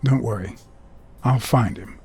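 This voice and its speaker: An actor playing a hard-boiled private detective, a wry, brooding cat who speaks in measured, terse phrases in a film noir game. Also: male voice, mid-range voice, vocal fry, darkish tone. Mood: steady, terse. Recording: music behind the voice.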